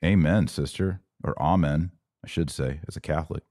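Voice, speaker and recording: A man's voice: rumbling low, normal speed, darkish tone, dry recording, clean studio sound. A man hosting a podcast of religious commentary, speaking in an emphatic, preachy manner with rhetorical questions. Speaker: podcast host. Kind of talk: live religious commentary rant, reading audience comments.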